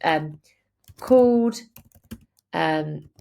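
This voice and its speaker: Lady doing a screen share tutorial, deep-ish voice w some vocal fry, explains it step by step. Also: lively intonation, extremely slow, dark tone. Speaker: software tutorial presenter.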